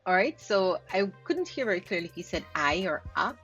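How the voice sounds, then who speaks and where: A Jamaican woman with a fairly low voice, speaking as a linguist who breaks down pronunciation. She says words over and over to show exactly how they are spoken. There is faint music in the background. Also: big pitch swings, fairly quick, a bit bright, lo-fi sound. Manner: repeats words to demonstrate sounds, laughs lightly. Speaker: linguist host. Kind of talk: explaining pronunciation while reacting to clips.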